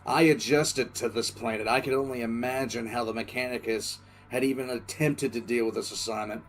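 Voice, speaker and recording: A man playing a war-weary military commissar, recounting a crisis in halting, emphatic audio drama narration. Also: mid-range voice, fairly even intonation, natural voice. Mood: grim, reflective. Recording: music under the voice.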